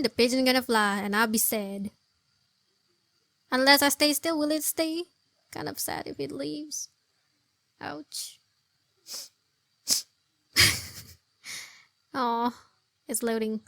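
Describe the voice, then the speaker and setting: A woman giving casual, expressive, rambling reaction commentary over a video game. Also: a little high, no room sound. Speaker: gameplay streamer, commentator.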